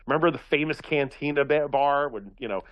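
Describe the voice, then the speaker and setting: A man from Philadelphia talking in a casual radio chat, rambling and animated, with many restarts. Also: fairly high pitch, fairly quick, highs cut off.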